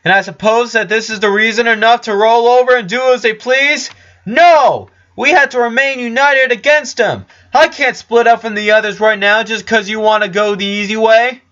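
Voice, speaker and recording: A man's voice: very high voice, steady tone, moderate pace, bright, forceful tone, muffled recording, voice pushed up. A man voicing a loyal, troubled male fantasy ranger, earnest and defiant. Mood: defiant shouting.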